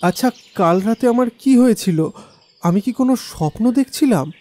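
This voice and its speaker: Man as mellow, reverberant horror story narrator. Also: very high voice, animated, normal speed. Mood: puzzled, anxious. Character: troubled young man narrating his ghostly tale. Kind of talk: dramatic first-person horror story narration. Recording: long reverb, faint music bed.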